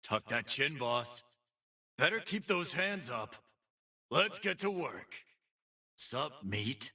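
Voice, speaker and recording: An actor playing a brash, swaggering video game brawler who adores his mother, gruff and raspy, taunting in tough-guy slang. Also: medium pitch, big pitch swings, slow, pushed and bright, distant mic, full character voice. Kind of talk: punchy fighting game voice lines.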